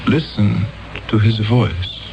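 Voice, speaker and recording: An actor playing a solemn, coldly insistent elder in a 1970s radio drama, speaking in measured, commanding phrases. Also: male voice, on the low side, vocal fry, lo-fi sound, very noisy. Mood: hypnotic, commanding. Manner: measured, commanding, deliberate pauses.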